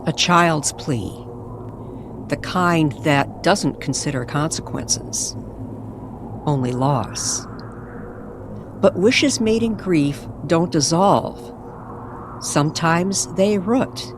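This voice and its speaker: A woman narrating an eerie story in old-time radio style, with dramatic, weighted pauses. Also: low voice, noisy background.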